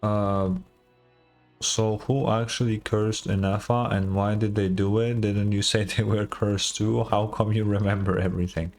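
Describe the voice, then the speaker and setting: A man's voice: lowish voice, flat delivery, unhurried, a little mellow, soft background music. A man giving casual reaction commentary as a gaming streamer, informal, wry and sweary.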